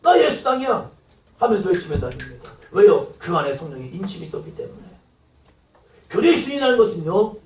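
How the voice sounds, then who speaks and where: A male pastor preaching a sermon, acting out quoted dialogue and rising to loud exclamations. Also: high-pitched, reverberant, distant mic, slight background noise, low-quality recording.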